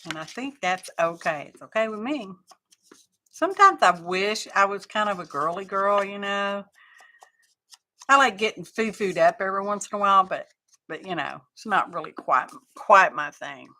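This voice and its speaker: A woman's voice: medium pitch, expressive, moderate pace, bright, forceful tone. A middle-aged woman hosting a craft live stream, chatting casually and rambling to her viewers as she works.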